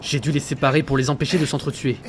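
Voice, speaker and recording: An actor playing a frightened, isolated seaman in an audio drama, halting and anxious, repeating himself. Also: medium pitch, little pitch movement, background noise, close to an everyday voice. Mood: weary dread. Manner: halting, anxious, breathy repetitions. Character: frightened, isolated young seaman keeping a logbook.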